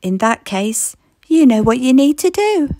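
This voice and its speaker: A gentle, firm storybook mother, played by a woman reading aloud. Her voice is high and swoops with reassurance. She coaxes lovingly and takes her time over the words. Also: sing-song, unhurried, dry recording, clean studio sound. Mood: encouraging.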